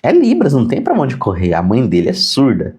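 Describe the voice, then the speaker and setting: A man's voice: medium pitch, wildly swooping pitch, brisk, a little mellow. A middle-aged man with a Brazilian Portuguese accent, a teacher giving an informal live lesson in a conversational, didactic way, repeating key points for emphasis. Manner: conversational, acts out questions to himself. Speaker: sign language teacher. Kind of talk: informal live-stream lesson answering viewer questions.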